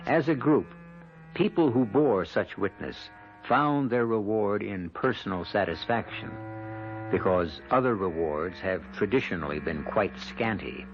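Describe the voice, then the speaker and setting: A man hosting a radio mystery drama with theatrical scripted narration, measured, portentous and wry. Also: fairly low pitch, expressive, dull audio. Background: music.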